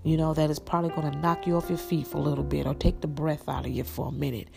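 A woman with a raspy voice, preaching an encouraging sermon and praying with an earnest, repetitive cadence. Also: sits low, little pitch movement, fast talker. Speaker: podcast minister. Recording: music playing behind the voice.

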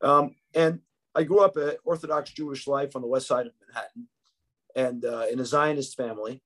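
A man in his fifties with a New York accent, speaking as a podcast guest. He tells his story in an easy, reflective way, often restarting a phrase with small fillers. His voice sits at an ordinary middle pitch. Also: fairly quick, fairly bright tone. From United States. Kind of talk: conversational interview storytelling.

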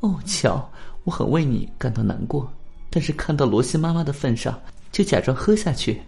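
Guy doing a young woman, earnest n anxious, higher creaky voice, radio play. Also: very animated, normal speed, warm tone, soft background music. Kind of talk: radio drama acting.

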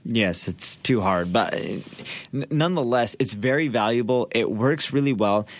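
A man's voice: mid-range voice, normal speed. A man co-hosting a podcast in a casual, instructional style, posing questions and making points emphatically. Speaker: podcast co-host.

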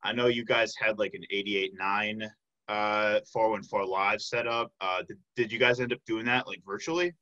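A man's voice: fairly low pitch, fairly even intonation, moderate pace, sharp tone, lo-fi sound. A man hosting a casual interview, chatting in a friendly, informal way. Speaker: podcast host.

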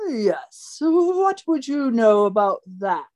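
A pompous radio-play detective, acted by a woman, speaks in a fairly high voice. The delivery is very slow and declamatory, quick to accuse and fond of lecturing, in the manner of a comic murder mystery. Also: very animated, a little mellow. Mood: smug, accusing.

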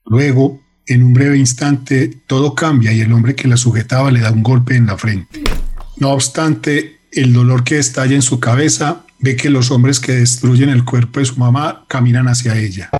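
A man's voice: mid-range voice, steady tone, moderate pace, darkish tone, slight background noise. A man narrating a radio drama in a dramatic, scripted style.

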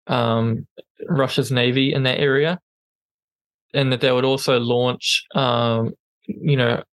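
Male co-host with a non-native English accent, mid-pitched, halting and filler-heavy delivery, dry close mic. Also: fairly bright tone, no echo. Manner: hesitant, many ums and restarts. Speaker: podcast co-host. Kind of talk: casual news chat.

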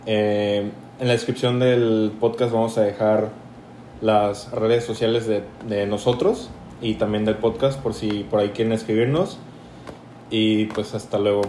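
A young Mexican man from Tampico, dry and sarcastic as a podcast co-host. His voice is dark and mellow at a middle pitch. The room is echoey with a faint hiss behind him. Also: little pitch movement, a little slow. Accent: Mexican Spanish, from Tampico. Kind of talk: casual podcast chat.

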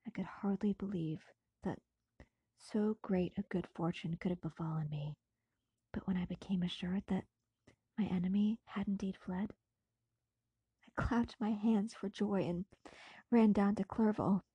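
A woman narrates classic fiction in a very husky, airy voice that often sinks close to a whisper. Her phrasing is deliberate and theatrical, and the sound is dry and close. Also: mid-range voice, steady tone, warm tone, no echo. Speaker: audiobook narrator. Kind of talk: dramatic scripted narration of a classic novel.

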